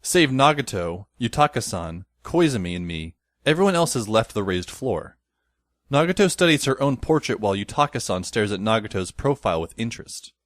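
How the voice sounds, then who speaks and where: Man acting a wry, lively-pitched teenage boy narrator. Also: a little fast, no echo, studio quality. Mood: wry, observant. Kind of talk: first-person scripted narration, audio drama.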